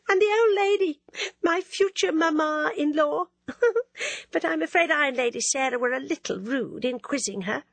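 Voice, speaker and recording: A woman's voice: sits high, vocal fry, a bit bright, dry recording, pitched above the performer's own voice, full character voice. A woman voicing a vain, teasing young woman who gushes and drops French words into her talk. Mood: gushing.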